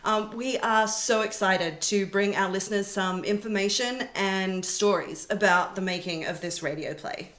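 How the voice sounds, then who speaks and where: A woman's voice: mid-range voice, normal speed, hardly any pauses, a bit bright. A woman hosting a podcast as its producer, talking conversationally. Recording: roomy, echoey indoor sound.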